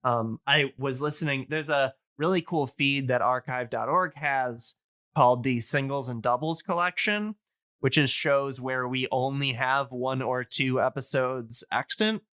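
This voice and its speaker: Bright, clear-voiced man chatting casually. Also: medium pitch, fairly even intonation, measured pace, dry recording, clean studio sound. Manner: rambling, hesitant, enthusiastic. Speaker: podcast host. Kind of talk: casual explanatory chat.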